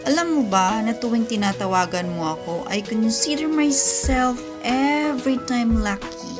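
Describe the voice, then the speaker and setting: A woman's voice: fairly high pitch, measured pace, lightly acted. A woman acting as an affectionate, teasing young sweetheart in a radio drama, with a Filipino accent. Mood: teasing, fond. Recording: music playing behind the voice.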